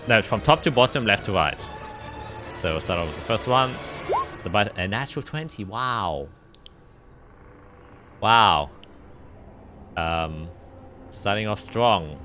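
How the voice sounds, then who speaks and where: A man's voice: fairly low pitch, expressive, a little slow, pauses often, music in the background. A man narrating a tabletop roleplaying game as game master, describing scenes and calling out dice results.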